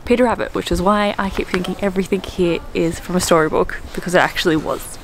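A woman's voice: on the low side, steady tone, quick pace, rough recording. A young woman with an Australian accent, chatting casually and bubbly as a travel vlogger, filming herself outdoors by a lake on a handheld camera. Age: young adult. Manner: chatty and bubbly, with frequent "like" and laughs. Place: outdoors, in a rowboat on a lake and by a lakeshore. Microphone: handheld camera close to the face. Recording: outdoor wind and water noise.

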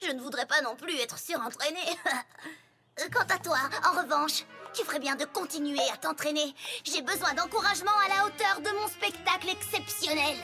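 A woman's voice: high-pitched, normal speed, over music. A woman voicing a brash, boastful young female pegasus pony in a cartoon, with a cocky, bossy, emphatic delivery. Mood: smug, dismissive. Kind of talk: French cartoon dub acting.

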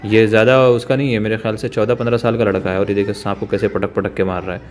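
A man's voice: lowish voice, flat delivery, fast talker, slight background noise. A man filming outdoors by a pond on a phone, explaining the scene in chatty speech with many fillers. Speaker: vlogger. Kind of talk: casual commentary behind the camera.

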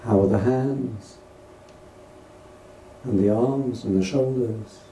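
A man leading a guided meditation as a teacher, speaking gently and unhurriedly in short phrases with pauses between them. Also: mid-range voice. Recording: distant roomy recording with faint noise.

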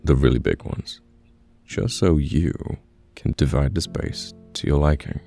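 A man playing a caring, patient boyfriend in an ASMR roleplay, speaking gently and haltingly with reassurance, close to the mic. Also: rumbling low, creaky, heavily acted. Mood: calm, reassuring. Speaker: voice actor in an audio roleplay. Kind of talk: soft-spoken ASMR boyfriend roleplay, pillow talk. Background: soft piano or guitar music.

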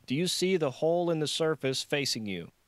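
A man's voice: on the high side, expressive, a little fast, no echo, voice pushed up, lightly acted. An audiobook scientist, a flustered man running the show, as a male narrator acts him out. He is officious and curt, yet eager to please. His voice sits raised in a dry, close recording. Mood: tense, commanding. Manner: chirpy to superiors, curt to underlings.

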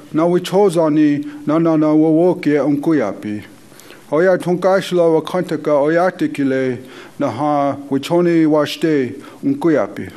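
A man whose first language is Dakota, leading a slow, measured, solemn prayer as an elder in a conference hall. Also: fairly high pitch, a little mellow. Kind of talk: spoken prayer.

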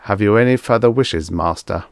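A man voicing a devoted robot servant in an audiobook story, speaking formally and with measured servility. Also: on the low side, gravelly, no echo. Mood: obedient.

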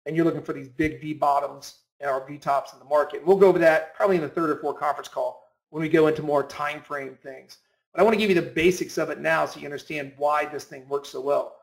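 A man's voice: fairly high pitch, low-quality recording. A man giving an informal instructional talk, explaining emphatically with repetition and rhetorical questions. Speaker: trading instructor. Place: voice-over on a screen recording.

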